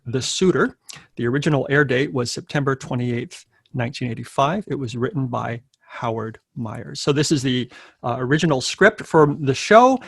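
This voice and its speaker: A man's voice: mid-range voice, vocal fry, breathy edge, dry recording, clean studio sound. A man hosting a table read, reading out introductions and stage directions. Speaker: host and narrator of a table read.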